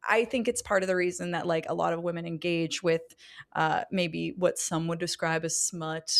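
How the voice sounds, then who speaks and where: Woman podcast host, deep low voice, measured and frank. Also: steady tone, creaky. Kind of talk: candid podcast conversation.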